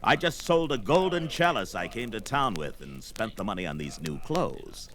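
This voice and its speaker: An actor playing a proud, hot-tempered barbarian warrior in a blustering, declamatory 1970s audio drama style. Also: male voice, lowish voice, big pitch swings, normal speed, fairly bright tone. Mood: boastful.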